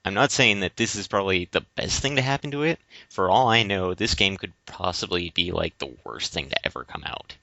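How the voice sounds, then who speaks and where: A low male voice with a tense, forceful edge, recorded dry and lo-fi. He chats casually as a podcast co-host, often groping for the right word and repeating himself. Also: expressive, fairly quick, hardly any pauses, dry recording. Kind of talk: casual discussion of video games. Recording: dry but dull lo-fi recording.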